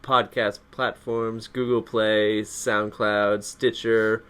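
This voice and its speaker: A man co-hosting a podcast, speaking in an excitable, slangy way. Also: on the low side, slow, clear, resonant tone. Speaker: podcast co-host. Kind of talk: casual chat.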